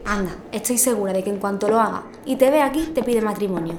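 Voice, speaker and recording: A woman playing a brisk, caring, teasing hospital colleague in a radio drama. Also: mid-range voice, darkish tone, close to an everyday voice. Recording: echoey room, faint noise.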